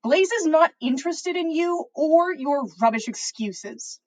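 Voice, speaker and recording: A woman's voice: sits high, expressive, a little slow, voice pushed up. A woman voicing a sneering, protective male student who snaps out threats in a story reading. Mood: threatening. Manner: snapping, snarling.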